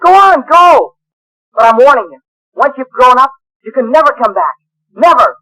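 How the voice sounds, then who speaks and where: A woman playing a cocky, stubborn boy in a radio drama, brash and excitable in manner. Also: sits high, normal speed, dry recording, low-quality recording. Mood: defiant. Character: cocky, stubborn magical boy who never grows up.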